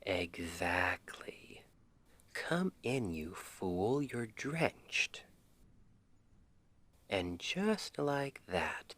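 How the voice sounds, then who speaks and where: Hushed, slow, low-voiced soothing boyfriend played by a man. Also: heavily acted. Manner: hushed, half-whispered, gentle and intimate. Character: tender, playful boyfriend soothing his partner to sleep. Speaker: ASMR roleplay performer. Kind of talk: ASMR roleplay, soft bedtime storytelling.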